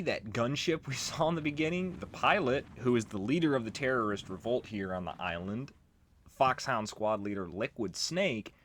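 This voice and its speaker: A man's voice: medium pitch, fairly bright tone, slight background noise. A man giving casual, informal, sweary video game commentary as a let's play host. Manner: profane, informal.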